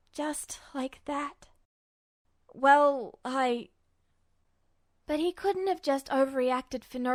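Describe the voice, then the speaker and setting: A woman's voice: high-pitched, dry recording, clean studio sound. A woman narrating fiction in a dramatic, emotive style, like an audiobook reader. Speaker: podfic reader.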